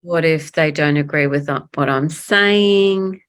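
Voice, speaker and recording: Low-voiced woman on a call, halting, lots of ums, kinda unsure. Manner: hesitant, halting, many fillers. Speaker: workshop participant. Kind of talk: hesitant sharing. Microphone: video call.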